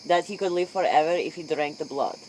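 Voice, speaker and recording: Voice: mid-range voice, quick pace, darkish tone. An interviewer in an improvised mock interview, echoing and paraphrasing what the guest says. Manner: echoes and paraphrases the guest's answers. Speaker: late-night interviewer. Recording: faint noise, crickets outdoors.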